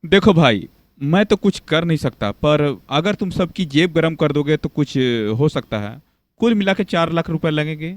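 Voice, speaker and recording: A man's voice: on the high side, animated, normal speed, no room sound, natural voice. A man playing a bossy, corrupt, self-important local politician husband in a cartoon. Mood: sly. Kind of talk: Hindi cartoon voice acting.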